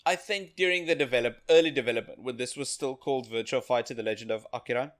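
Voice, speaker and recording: A man co-hosting a casual video game review podcast, giving blunt opinions in short, halting phrases. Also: mid-range voice. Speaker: podcast co-host.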